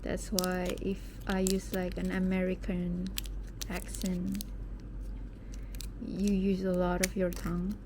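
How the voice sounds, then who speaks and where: A young Indonesian woman speaks English with a soft British-leaning accent, and her unhurried, rather level delivery rambles along with the occasional hushed aside. She is recording at home late at night. A clear, steady hiss sits beneath her voice. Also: fairly low pitch. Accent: Indonesian, with some British English. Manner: chatty, rambling, self-deprecating, sometimes drops to a whisper. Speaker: vlogger. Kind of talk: casual unboxing chat.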